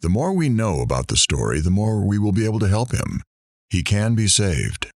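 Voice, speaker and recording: A man's voice: on the low side, very animated, normal speed, dry recording. A man playing a kindly, courteous studio host in an audio drama, speaking encouragingly. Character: kindly, appreciative male studio show host. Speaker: show host. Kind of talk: audio drama acting as a presenter.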